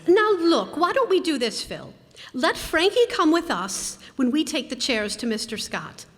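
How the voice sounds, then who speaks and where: Woman acting a high, lively, wry wife. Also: unhurried. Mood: wry, chivvying. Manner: wry, chivvying. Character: brisk, wry, practical wife. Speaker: radio player. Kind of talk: stage re-creation of old radio comedy. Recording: reverberant hall, live stage performance.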